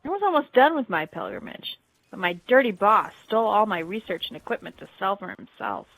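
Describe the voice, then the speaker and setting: A woman's voice: medium pitch, big pitch swings, a little fast, gravelly, a bit bright, no echo, full character voice, poor audio. A woman playing an earnest, plucky young female alien in a sci-fi game audio drama, a little unsure of herself. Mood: frustrated, earnest. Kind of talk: fan audio drama, game-story character acting.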